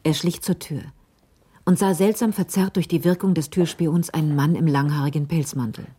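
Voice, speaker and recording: Low, dark-voiced woman narrator. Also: fairly even intonation, slight background noise. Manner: measured, literary storytelling. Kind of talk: scripted narration of a radio crime drama.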